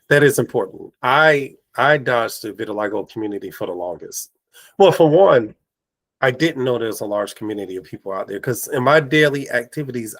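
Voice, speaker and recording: A man hosting a casual podcast chat, his voice raspy from allergies, telling lively stories and acting out quoted remarks. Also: mid-range voice. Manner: animated storyteller, acts out quoted exchanges. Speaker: podcast host. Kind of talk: casual conversation.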